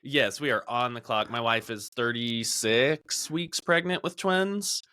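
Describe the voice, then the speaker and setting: A man's voice: mid-range voice, measured pace, bright, forceful tone, clean tone, no room sound, clean studio sound. A man in his thirties chatting casually as a podcast host.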